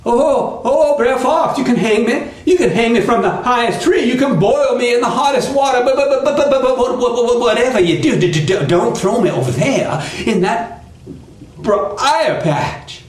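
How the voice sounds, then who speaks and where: A man's voice: very high-pitched, moderate pace, roomy sound, voice pushed up. A man voicing a frantic, hot-tempered trickster rabbit in a folk tale, stammering and repeating his words. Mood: begging, feigned terror.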